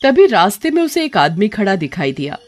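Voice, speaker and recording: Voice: mid-range voice, sing-song, brisk, a little hiss or hum. A narrator telling a scary story in scripted, dramatic storybook narration.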